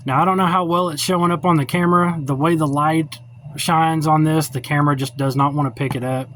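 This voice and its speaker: Blunt higher-pitched man, noisy phone recording. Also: fairly even intonation, a little fast, fairly bright tone. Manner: blunt, opinionated, plainspoken. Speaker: radio hobbyist giving a tutorial. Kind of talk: informal unscripted how-to demonstration. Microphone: cell phone held or set up while filming.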